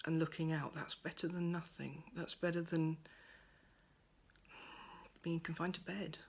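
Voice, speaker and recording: A woman giving a gentle, thoughtful monologue at home by a window, her voice soft and at times close to a whisper. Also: deep voice, steady tone, a little slow, gravelly, husky. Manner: soft-spoken, thoughtful, drifts into near-whispers. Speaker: pagan speaker sharing reflections. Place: at home by a window over a garden.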